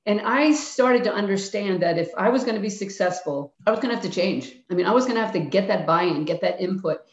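A woman's voice: mid-range voice, expressive, brisk. A woman, a retired basketball coach answering interview questions frankly and plainly. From Philadelphia. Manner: frank, plainspoken, acts out quotes of herself and players. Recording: echoey, dull-sounding room.

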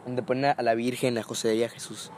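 Relaxed northern Mexican man chatting. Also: mid-range voice, fast, slight background noise. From Sonora, northern Mexico. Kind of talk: casual chat.

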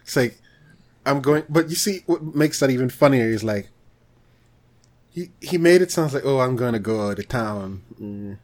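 A man's voice: medium pitch, lively intonation, moderate pace, a little mellow. A man co-hosting a podcast with casual, slangy banter and an exclamatory way of reacting. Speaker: podcast co-host.

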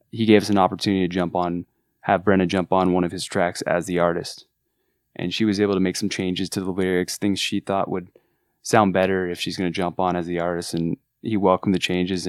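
A man with a very low voice and a relaxed Californian surfer accent talks earnestly about his work. He restarts his sentences often but keeps his enthusiasm. The recording is close and completely dry. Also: clean tone, no echo. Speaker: artist manager. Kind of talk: casual podcast chat.

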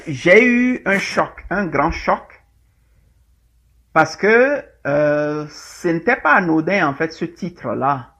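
A man's voice: on the high side, gravelly, a bit bright. A middle-aged man from Togo, giving a presentation in a hesitant way with many fillers and restarts. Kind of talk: presentation talk. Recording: lo-fi with dull sound.

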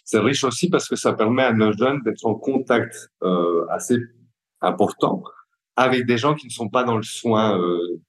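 A man's voice: on the low side, low-quality recording. A man with a Belgian French accent reflecting in an interview as a care worker, explaining hesitantly and searching for his words.

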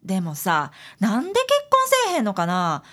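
A woman's voice: medium pitch, huge pitch swings, normal speed, fairly bright tone. A woman playing an outspoken, chatty detective's assistant in a radio drama, with a breezy Kansai accent. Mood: curious gossip. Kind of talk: Japanese radio drama acting.

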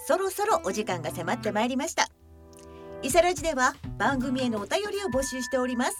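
A woman co-hosting a casual studio radio show in an animated, playful way. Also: high voice, fairly quick. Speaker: radio co-host and theatre troupe member. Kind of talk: casual co-hosted radio chat. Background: music.

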